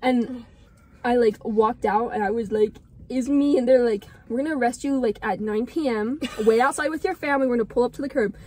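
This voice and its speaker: A woman's voice: on the high side, a little fast, darkish tone, husky. A young woman telling a long rambling story to friends in a parked car, giggling and saying "like" constantly. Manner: rambling, giggly, heavy use of "like". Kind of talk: casual chat.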